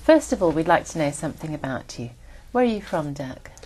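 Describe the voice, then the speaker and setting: A woman acting as examiner in a speaking exam, giving formal, measured instructions. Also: low voice, big pitch swings, a little mellow, a little hiss or hum, low-quality recording. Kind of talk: scripted exam prompts.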